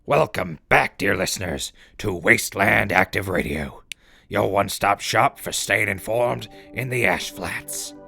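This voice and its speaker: A man's voice: lowish voice, a little slow, creaky, sharp tone, breathy edge, soft background music, full character voice. A man playing a wry, cheeky wasteland radio host with folksy, mock-gracious announcer patter. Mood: upbeat. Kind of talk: scripted in-character radio broadcast.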